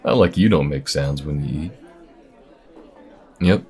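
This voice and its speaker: A man voicing an easygoing, wry alien bounty hunter with folksy, unhurried phrasing in an audio roleplay. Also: very deep voice, a little mellow, slightly rough, exaggerated voice. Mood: flustered, teasing. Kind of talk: audio roleplay addressed to the listener. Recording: restaurant chatter behind. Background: restaurant crowd ambience.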